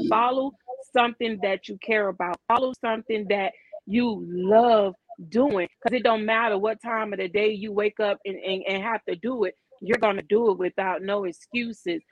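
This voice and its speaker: A woman's voice: medium pitch, fairly even intonation, normal speed, no room sound. A woman motivational speaker shares her story with earnest conviction. Her voice comes through a slightly dull, dry, lo-fi recording. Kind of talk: casual podcast interview.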